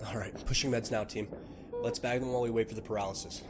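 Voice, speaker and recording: A man playing a calm emergency doctor in an audio roleplay, gentle and reassuring yet authoritative. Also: mid-range voice, fast, natural voice. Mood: soothing, steady. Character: calm, reassuring male emergency doctor tending critical patient.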